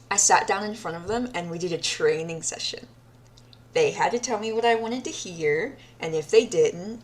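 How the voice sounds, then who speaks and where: A woman's voice: on the low side, natural voice. A woman playing a deranged, obsessive yandere captor in an audio roleplay diary, sadistic yet childlike, speaking in a dreamy, intimate manner and lingering on words. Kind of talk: audio roleplay monologue, recorded diary confession.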